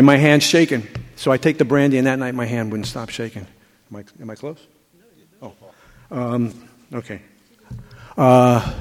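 An older man telling his recovery story to a group, halting and full of restarts. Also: mid-range voice, a little mellow. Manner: halting, with frequent repeats and restarts. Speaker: speaker sharing a recovery story. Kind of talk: personal testimony to a group. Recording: hall reverb.

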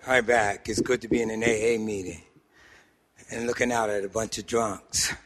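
A middle-aged or older man with a New York accent, giving an animated, humorous personal testimony to a group, with emphatic pauses and repeated phrases. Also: lowish voice. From Harlem, New York City, United States. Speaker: speaker at a recovery meeting. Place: meeting hall, speaking to a group. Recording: echoey hall with long reverb.

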